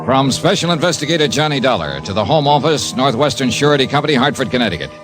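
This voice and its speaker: An actor playing a cool, wry freelance insurance investigator in an old-time radio detective drama. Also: male voice, mid-range voice, expressive, fast talker, music in the background, natural voice. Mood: narrating crisply. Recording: vintage radio broadcast recording.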